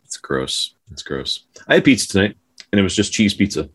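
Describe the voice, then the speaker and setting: A man's voice: deep voice, big pitch swings, fairly quick, slightly rough, no room sound, clean studio sound. A man co-hosting a podcast in casual, conversational chat. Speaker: podcast co-host.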